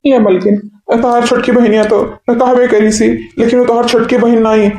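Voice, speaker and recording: A man's voice: very high voice, flat delivery, warm tone, a little hiss or hum. A man voicing a helpless, henpecked husband in a village cartoon comedy, pleading and repeating himself in an eastern Uttar Pradesh accent. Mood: helpless, upset. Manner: pleading, repetitive, halting. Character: henpecked, helpless village husband caught between two wives. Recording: echoey room sound.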